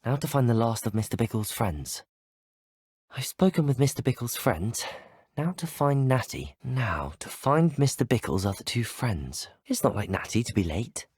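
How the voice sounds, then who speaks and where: A man's voice: mid-range voice, very animated, normal speed, darkish tone, breathy edge, no room sound, clean studio sound. A British man playing a polite, earnest, determined teenage student wizard in a video game, speaking articulate scripted dialogue. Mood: focused. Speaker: video game player character.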